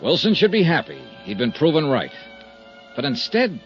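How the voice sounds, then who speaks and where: A brash insurance investigator from fifties radio detective drama. His lively voice swings up and down as he delivers wry slang with punch. It sounds like a dull old broadcast recording with a little music under it. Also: a little high, normal speed. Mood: brisk narrating. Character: brash wisecracking male freelance insurance investigator.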